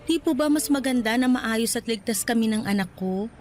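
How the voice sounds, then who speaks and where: A woman's voice: fairly high pitch, normal speed, a little mellow, a little hiss or hum, lightly acted. A woman playing a young mother in a Tagalog radio drama, defensive yet respectful as she answers back. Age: young adult. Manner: polite but answering back. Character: young wife and new mother, defensive but respectful daughter.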